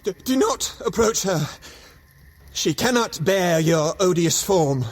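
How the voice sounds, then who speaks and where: A man's voice: high voice, expressive, takes it slowly, full character voice. A man playing a proud, desperate scientist in an audio drama, with formal, archaic, commanding speech. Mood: alarmed, commanding. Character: proud, desperate scientist, hostile to his creation.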